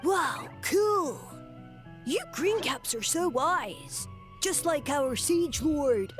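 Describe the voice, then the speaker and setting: A woman's voice: sits high, expressive, full character voice. A woman voicing a dim, cheerful male mushroom creature in a game, with a surfer-style drawl. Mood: awestruck. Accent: surfer-style American drawl. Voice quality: slow, dopey, awestruck drawl. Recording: game audio with background music.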